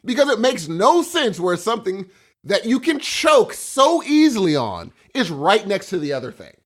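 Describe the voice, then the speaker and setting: A man's voice: high voice, very animated, normal speed, a bit bright. A man co-hosting a podcast in casual, rambling, joking chat. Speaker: podcast co-host.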